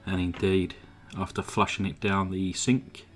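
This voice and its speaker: A man with a lowish voice, a little croaky from a sore throat, talks through his workbench restoration over soft background music. He sounds relaxed and chatty, pausing on "uh" between thoughts, with a desk mic picking him up in a home workshop. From England. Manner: relaxed, chatty, many "uh" fillers. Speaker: model car restorer. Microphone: desk microphone.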